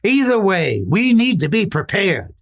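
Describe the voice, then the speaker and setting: A man's voice: high voice, sing-song, measured pace, a bit bright, exaggerated voice. An American man playing a stern, suspicious ranch boss who gives commanding orders in a Western radio drama. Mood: stern, determined. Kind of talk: old-time Western radio drama acting.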